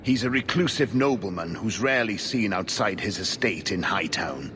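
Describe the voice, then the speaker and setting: Weary older investigator, low-leaning pitch, formal, measured, stubborn, game dialogue, clearly noisy background ambience. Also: male voice, lively intonation, a bit bright. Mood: earnest. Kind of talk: video game dialogue acting.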